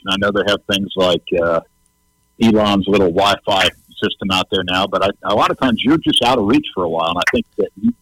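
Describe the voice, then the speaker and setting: A man's voice: on the low side, creaky, fairly bright tone, husky, dry recording, rough recording. A man co-hosting a casual radio show, easygoing and teasing. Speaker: radio co-host.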